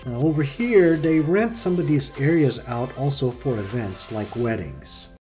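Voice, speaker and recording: Brief: 40s male travel vlogger, mid-pitched, easygoing and rambling, phone on a winery patio. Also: measured pace, soft background music. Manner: relaxed, rambling, many ums and uhs. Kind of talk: casual winery travel vlog.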